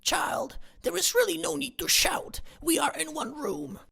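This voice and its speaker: A haughty, godly spinster for a comic murder-mystery drama, preaches with pompous indignation. The voice is piercingly bright and swings dramatically up and down, with heavy gravel running through it. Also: female voice, high voice, big pitch swings, unhurried, exaggerated voice. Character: self-righteous, prudish, pious spinster sister, scandalized and haughty.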